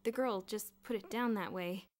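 A woman's voice: medium pitch, moderate pace, close to an everyday voice. A woman voicing a brash, scheming schoolboy in an audiobook story, eager, emphatic and insistent. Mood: earnest, pleading.

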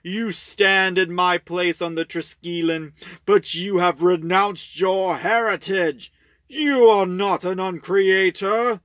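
An actor playing a menacing, resentful alien monster leader in a science-fiction audio drama, slow, forceful and declamatory. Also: mid-range voice, steady tone, dry recording, studio quality. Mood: menacing, bitter.

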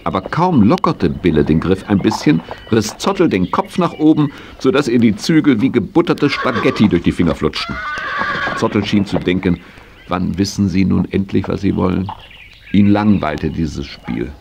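An actor narrating a children's horse story in a 1980s audio drama, telling it expressively. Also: male voice, low voice, sing-song, moderate pace, gravelly, noisy background, full character voice. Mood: storytelling.

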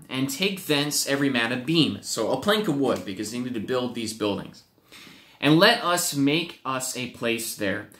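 A young man leads a children's Bible lesson from a small home office, his voice crisp and bright. He tells the story with animated energy and slips into playful acted-out voices. The webcam catches a roomy echo off the walls. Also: medium pitch. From Wisconsin, USA. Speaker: youth pastor. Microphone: webcam at desk.

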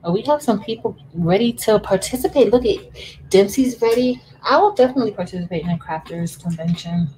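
A woman's voice: medium pitch, animated, fairly quick, warm tone, a little hiss or hum, rough recording. A woman in her thirties hosting a casual craft livestream from home, chatting with viewers while she works. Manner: chatty, addresses viewers as y'all, frequent laughs. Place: indoors at a home craft table.

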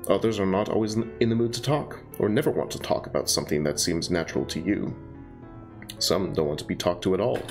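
A man voicing a poised, precise young woman student who explains things in a measured, clinical way. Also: low voice, darkish tone, soft background music. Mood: composed.

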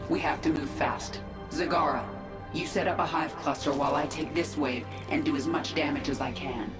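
A woman's voice: deep voice, fairly even intonation, normal speed, natural voice. Stern, echoing warrior queen played by a woman. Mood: urgent, determined. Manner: stern, commanding, terse. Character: female warrior queen of an alien swarm, stern, vengeful. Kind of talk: video game dramatic voice acting. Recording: echoing voice under game music.

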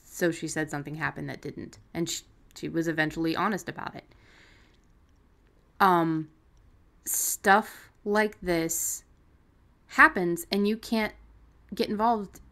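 A woman's voice: on the low side, fairly even intonation, slow pace, long pauses, bright, forceful tone. A woman co-hosting a podcast, talking casually and off the cuff in a blunt, salty-tongued way. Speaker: podcast co-host. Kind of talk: casual unscripted discussion.

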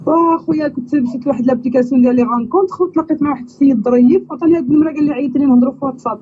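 A man's voice: very high voice, rapid-fire. A man putting on the voice of a chatty young woman from abroad looking for a husband, speaking with a Moroccan accent on a prank phone call. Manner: chatty, pleading, repeats "you understand?". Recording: dull lo-fi sound with faint noise.

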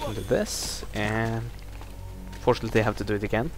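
A man's voice: fairly low pitch, brisk, gravelly, soft background music, low-quality recording. A young man with a Norwegian accent, casually commenting on a video game he plays, with dry, sarcastic asides. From Norway. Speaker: gameplay commentator. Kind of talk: casual let's-play commentary.

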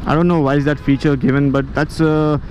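An Indian-accented man with a fairly high-pitched voice talks casually through a product review. He rambles a little, circling back to rephrase his points. Noticeable outdoor traffic and vehicle noise runs behind him. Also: little pitch movement, a little fast, darkish tone, rough recording. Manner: rambling, repeats and rephrases himself. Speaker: motorcycle vlogger reviewing gear. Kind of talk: casual spoken product review while riding.

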